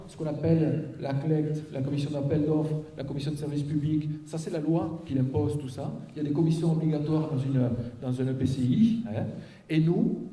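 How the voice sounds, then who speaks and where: A man's voice: fairly high pitch, dark tone. A man giving an explanatory public presentation as a local official, step by step, with check-in tags and rhetorical questions. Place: auditorium, public meeting. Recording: echoey auditorium, picked up from a distance.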